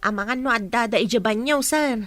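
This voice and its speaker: A woman's voice: fairly high pitch, normal speed, fairly bright tone, no room sound, natural voice. An actress playing a polite, deferential female household helper in a radio drama.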